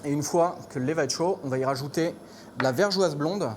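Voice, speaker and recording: A man's voice: a little high, brisk. A man from Normandy talks through a recipe like a chef at work. His delivery is casual and a little hesitant as he explains each step. There is some noise around him in the kitchen. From Normandy, France. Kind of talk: cooking demonstration with casual chat.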